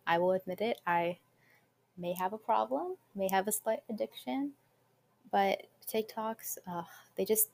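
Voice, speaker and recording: A young woman college student hosting her own podcast, talking in a chatty, upbeat way with quick asides and a naturally quiet voice. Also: medium pitch. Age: young adult. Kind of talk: casual solo podcast chat.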